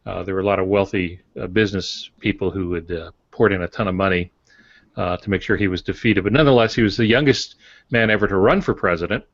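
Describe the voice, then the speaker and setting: An older man with a fairly low voice talks at length about his writing, wandering through his points with many "uh"s and restarts. The sound is dry and muffled, like a lo-fi video-call line. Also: fast, no echo. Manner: rambling, with frequent uhs and restarts. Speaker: author and podcast co-host. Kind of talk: casual explanation on a podcast.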